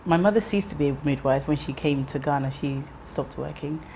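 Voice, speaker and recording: A woman in her thirties, raised in England, tells her story in a low, steady voice. She speaks reflectively, pausing with ums and restarting phrases. A faint hiss of noise sits behind the recording. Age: 30s. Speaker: interviewee. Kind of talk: personal life story interview.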